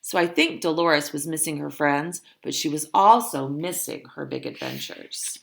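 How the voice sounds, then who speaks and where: A woman who works as a teacher, reading a children's story aloud expressively and adding simple, deliberate explanations. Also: sits low, big pitch swings, gravelly, a bit bright, a little hiss or hum.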